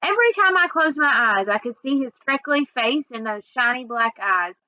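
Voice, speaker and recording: High-voiced woman reading a story aloud. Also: moderate pace, no echo, lo-fi sound. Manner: expressive, acts out dialogue. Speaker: reader. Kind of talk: read-aloud storytelling of a children's novel.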